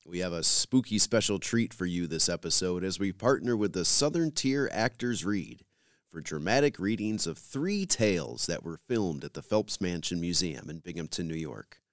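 A man's voice: low-pitched, animated, moderate pace, no echo, clean studio sound. A man with an American accent reading scripted introductions as a podcast host.

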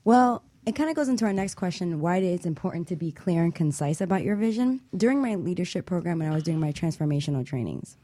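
A woman's voice: fairly low pitch, lively intonation, fast, hardly any pauses, mellow, no echo. A woman co-hosting a talk radio show, telling a personal story in a chatty way. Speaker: co-host.

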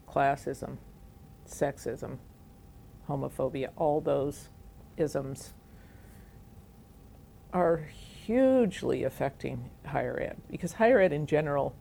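Woman educator, really low gravelly voice, earnest and measured, faint rumble in the background. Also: a little mellow. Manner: earnest and measured, speaks of teaching commitment. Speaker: educator guest. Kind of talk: conversational interview.

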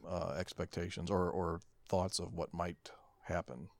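A man's voice: low-pitched, level tone, a little slow, gravelly, dry recording, clean studio sound. A man co-hosting a podcast in casual chat, hesitant and self-deprecating as he talks. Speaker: podcast co-host.

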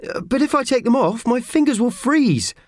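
Man playing a flustered, high-voiced meek office worker. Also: expressive, moderate pace, no echo. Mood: flustered, pleading. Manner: stammering, hesitant, protesting. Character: flustered, meek male office worker pleading with his boss.

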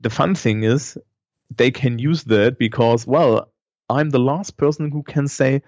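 A man's voice: mid-range voice, a little slow, darkish tone, dry recording, muffled recording. A man with a Thuringian German accent, a teacher who speaks English as a second language and talks casually with many restarts and fillers. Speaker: teacher and podcaster, guest. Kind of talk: casual conversation.

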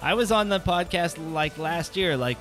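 A man's voice: a little high, lively intonation, normal speed, a bit bright, faint music behind. An American man hosting a podcast, rambling through jokey opinions on the news and tossing rhetorical questions at listeners. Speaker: podcast host. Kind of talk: casual comedic news chat.

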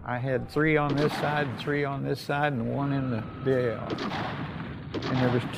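An older American man, a war veteran, telling his memories informally in a folksy, halting way with repeated phrases. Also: medium pitch, steady tone, vocal fry, heavy background noise. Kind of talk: informal oral-history storytelling.

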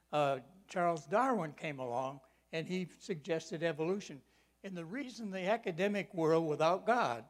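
A man in his eighties preaching a sermon, stressing key words slowly, dropping to quiet asides and telling folksy personal stories. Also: sits high. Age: 80s.

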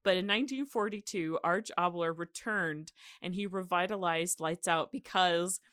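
Low-voiced young woman chatting casually. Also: moderate pace, fairly bright tone, smooth, no echo, clean studio sound. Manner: rambling, heavy use of "like". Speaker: podcast host. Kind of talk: casual podcast chat.

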